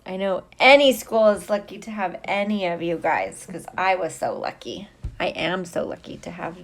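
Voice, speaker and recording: A woman's voice: lowish voice, animated, normal speed, a little hiss or hum. A middle-aged woman, a teacher, chatting casually and playfully with young viewers on a livestream, drawing out her greetings. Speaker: teacher hosting a livestream for students.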